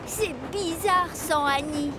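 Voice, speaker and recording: A woman voicing a gentle, sensible female railway carriage in a children's cartoon dub, expressive in manner. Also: very high voice, slow, noisy background. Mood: downcast. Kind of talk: animated children's series dub.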